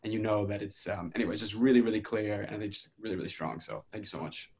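A man's voice: on the low side, fast, darkish tone, a little hiss or hum. A man giving casual feedback during a group video call. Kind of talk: casual chat. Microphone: video call microphone.

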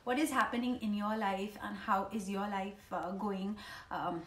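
A woman's voice: medium pitch, steady tone, normal speed. A woman in her thirties with an Indian accent, chatting informally and ramblingly to camera as a vlogger in her living room. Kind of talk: casual chit-chat to camera. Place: small living room at home, indoors. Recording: roomy home living room echo.

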